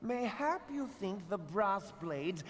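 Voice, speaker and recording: A man's voice: high voice, big pitch swings, slow pace, heavily acted. A man playing a smug, scheming, wealthy merchant lord in a video game, speaking in a haughty, mocking, oratorical manner. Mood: haughty, accusing. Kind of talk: theatrical video game voice acting. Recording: reverberant hall, light background noise.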